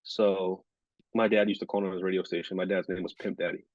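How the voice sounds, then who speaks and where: A man in his twenties from Rhode Island, a sports reporter chatting casually as a podcast guest, talking in a monotone with lots of fillers. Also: low voice, quick pace, no echo, lo-fi sound. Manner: monotone delivery, filler-heavy. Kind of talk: casual interview chat.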